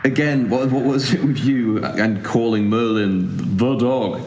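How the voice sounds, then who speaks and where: A man's voice: mid-range voice, fairly even intonation, measured pace, darkish tone, close to an everyday voice. A young man acting a cynical, sardonic, aimless younger brother in a naturalistic audio drama, with a dry, wordy wit. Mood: teasing. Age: young adult. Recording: echoey room, faint background noise.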